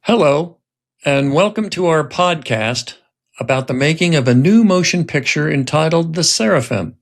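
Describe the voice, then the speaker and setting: A man's voice: on the high side, expressive, moderate pace, creaky. A man presenting a podcast in a scripted way and narrating a dramatic script reading as its writer-director.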